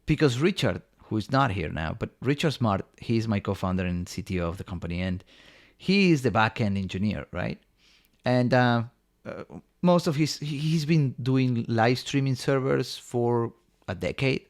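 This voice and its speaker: A man's voice: medium pitch, lively intonation, normal speed. Man telling his story. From Argentina. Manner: eager, rambling, many fillers and restarts. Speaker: company co-founder. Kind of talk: casual storytelling in an interview.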